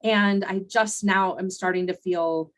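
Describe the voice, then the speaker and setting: Woman podcast host, mid-pitch, chatty solo coaching delivery, voice just back after laryngitis. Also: fairly even intonation, measured pace. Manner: conversational, with fillers and restarts. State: recovering from laryngitis.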